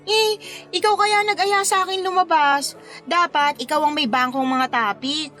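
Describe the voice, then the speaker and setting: An actress playing a coy, smitten forty-year-old wedding planner who playfully protests in a romantic radio drama. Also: female voice, sits high, steady tone, normal speed, fairly bright tone, soft background music.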